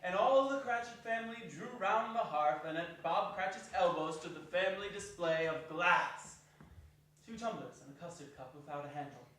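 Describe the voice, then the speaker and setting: A man's voice: sits high, normal speed, a bit bright. A man giving a theatrical storybook narration as one of the readers in a staged reading. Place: indoor performance hall. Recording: echoey hall from far off.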